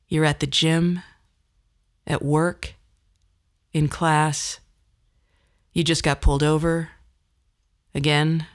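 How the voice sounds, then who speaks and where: A middle-aged woman delivering a scripted podcast monologue as host, phrasing things in a wry, deliberate way. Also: low voice.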